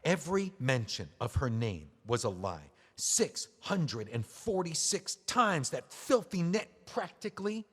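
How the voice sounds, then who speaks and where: An actor playing a bitter, drunk middle-aged widower, sarcastic and slurring, in an audio drama. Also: male voice, fairly high pitch, sing-song, unhurried, few pauses, slightly rough, long reverb tail, heavily acted. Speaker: memoir author.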